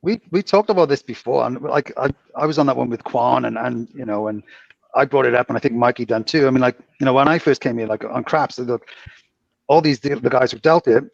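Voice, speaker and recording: A Welsh man in his forties talks in an animated, exclaiming way, like a casual podcast host. His voice has a rough, gravelly edge. The recording sounds dry and slightly muffled. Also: mid-range voice, a little fast, no echo. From Wales. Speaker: podcast host, casino dealer.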